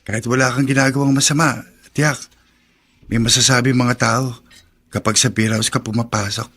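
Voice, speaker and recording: An actor playing a kind, protective young neighbour in a Filipino radio drama, earnest and a little hesitant. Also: male voice, mid-range voice, steady tone, normal speed, fairly bright tone, breathy edge. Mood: concerned.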